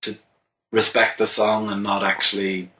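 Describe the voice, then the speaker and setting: An Irish songwriter answering interview questions in a thoughtful, halting way, with many restarts. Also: male voice, lowish voice, completely flat delivery, sharp tone. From Ireland. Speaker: songwriter guest.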